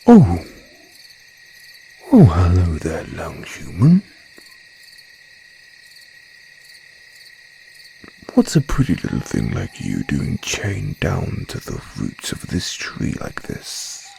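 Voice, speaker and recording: A man voicing a haughty, courteous werebear with predatory charm, in an audio roleplay, speaking in a drawn-out, genteel, condescending way. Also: low-pitched, huge pitch swings, gravelly, dark tone, slight background noise, heavily acted. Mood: curious, flirtatious. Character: smooth, haughty male werebear, courteous yet predatory flirt. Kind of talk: audio roleplay monologue addressed to listener.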